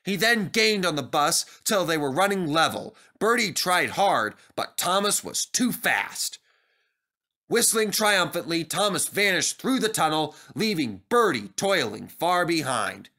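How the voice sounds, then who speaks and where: A man narrating a scripted children's story as an animated storyteller. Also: a little high, unhurried.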